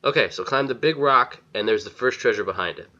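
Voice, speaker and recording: Bright-voiced male game walkthrough narrator. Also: medium pitch, a little fast. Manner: plain step-by-step directions. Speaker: video game walkthrough host. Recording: slightly dull lo-fi voice-over. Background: faint game music.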